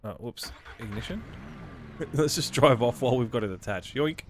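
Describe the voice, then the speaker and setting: A man with a cold casually commentating his own gameplay, reading on-screen prompts aloud and thinking out loud as he plays. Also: mid-range voice, animated, moderate pace. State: has a cold. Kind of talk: casual let's-play commentary.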